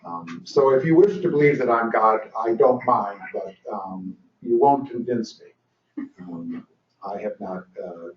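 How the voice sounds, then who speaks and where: A man's voice: on the low side, a little slow. An older man lectures at a training session, talking loosely with many fillers and dry asides. He is heard from some distance across a reverberant room. The recording is dull and muffled. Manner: dry humor, frequent fillers and restarts. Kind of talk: conference lecture.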